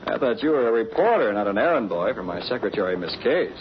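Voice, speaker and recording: An actor playing a brisk, commanding young newspaper publisher in 1940s radio drama, crisp and authoritative. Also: male voice, on the low side, sing-song. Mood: teasing. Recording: dull, noisy 1940s radio recording.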